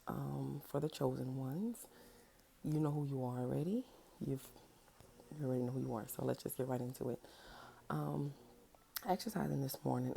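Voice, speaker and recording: A woman in her thirties with a naturally deep voice, a little under the weather, giving a loose, rambling message at home, full of ums and asking "right?". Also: vocal fry, warm tone, a little hiss or hum. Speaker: spiritual vlogger. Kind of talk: casual direct-to-camera message. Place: home with a washing machine running. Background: washer running, children fussing at times.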